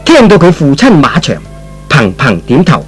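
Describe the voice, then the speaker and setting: A man's voice: on the high side, huge pitch swings, normal speed. Male narrator, old radio serial storytelling, very varied intonation, faint music.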